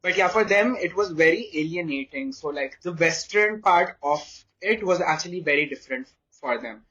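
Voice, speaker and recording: A young man from Pakistan talks loosely as a podcast guest, circling his thoughts with plenty of fillers. His voice sits a little high, and the recording sounds slightly muffled and lo-fi. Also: normal speed. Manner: relaxed, rambling, many fillers. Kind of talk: casual podcast chat. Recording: slightly dull lo-fi audio.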